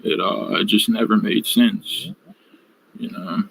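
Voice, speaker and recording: A man reflectively recounting his personal faith journey in a study group, halting and searching for words over a video call. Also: lowish voice, fairly even intonation, creaky, fairly bright tone, slight background noise, rough recording.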